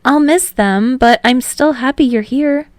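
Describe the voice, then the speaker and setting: A woman narrator as a cheerful young man, lifted bright voice, eager, earnest, easily flustered, romance audiobook, dry close recording. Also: sits high, unhurried, no echo, pitched above the performer's own voice.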